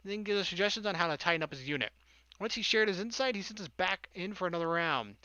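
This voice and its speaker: A woman reading a visual novel aloud as a gaming host, adding casual, deadpan quips. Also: fairly low pitch, quick pace, dry recording, dull audio. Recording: loud game music underneath.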